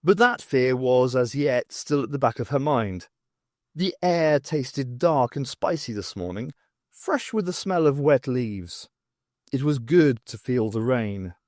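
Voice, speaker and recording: Lively male audiobook narrator, dry sound. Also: mid-range voice, dry recording. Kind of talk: scripted fiction narration.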